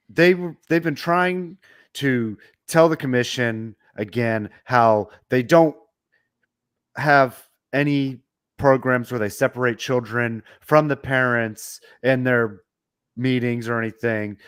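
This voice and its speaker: A man co-hosting a casual chat show, hoarse from a sore throat, talking quickly with frequent restarts. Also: medium pitch, dry recording. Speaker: podcast co-host.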